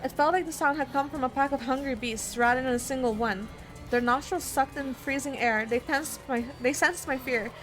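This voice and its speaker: A woman's voice: sits high, moderate pace, poor audio. A woman game streamer reading a horror visual novel aloud, dramatically, with spontaneous asides. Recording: music behind the voice. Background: game music.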